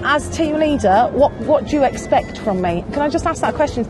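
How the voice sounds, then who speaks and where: A woman's voice: high-pitched, animated, brisk. A woman talking as a team leader, bossy and upbeat as she rallies her team. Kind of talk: reality TV contestant. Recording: music under the voice.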